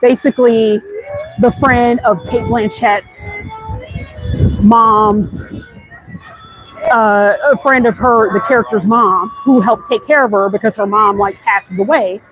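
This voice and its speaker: A woman's voice: a little high, steady tone, slow, long pauses, fairly bright tone, faint music behind, rough recording. An American woman hosting a casual, chatty walk-and-talk livestream, conversational and speaking directly to her viewers. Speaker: livestream host. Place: outdoors walking through a busy theme park. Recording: outdoor ambient noise. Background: busy theme park ambience.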